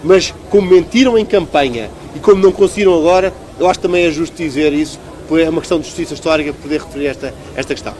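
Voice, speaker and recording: A man's voice: on the high side, racing, hardly any pauses, darkish tone. A man with a European Portuguese accent giving a conversational political interview as a local politician, arguing emphatically and repeating phrases for stress.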